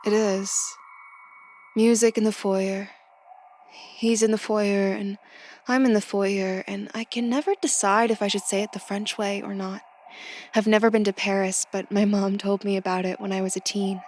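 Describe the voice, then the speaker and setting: A woman's voice: medium pitch, level tone, normal speed, darkish tone, slight background noise, close to an everyday voice. An actress playing a curious, polite, somewhat hesitant young woman recruit in an audio drama. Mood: dazed, drifting. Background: music.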